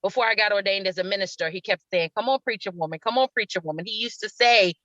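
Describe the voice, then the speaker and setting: A woman's voice: mid-range voice, quick pace, a bit bright, dry recording, dull audio. A middle-aged woman telling stories casually as an interview guest, effusive and repeating words for emphasis. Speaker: stage actress, interview guest.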